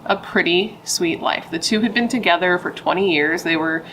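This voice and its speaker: A woman's voice: lowish voice, moderate pace, a bit bright, slight background noise, rough recording. A young adult woman, a pregnant true crime YouTuber, chatting playfully with dramatic asides and casual swearing.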